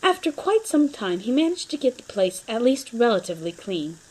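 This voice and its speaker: Higher-voiced woman reading a story dramatically. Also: sing-song, normal speed, a little mellow, husky, low-quality recording. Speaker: narrator.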